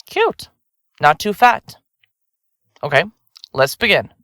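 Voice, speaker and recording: Female serial-killer podcaster, low-pitched for a woman, swooping intonation, flippant, self-absorbed, chatty, audio drama, dry close sound. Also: wildly swooping pitch, slow pace, fairly bright tone, no echo, heavily acted. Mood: absorbed, detached. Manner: blithe, chatty, flippant, theatrical. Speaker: suspect under interrogation.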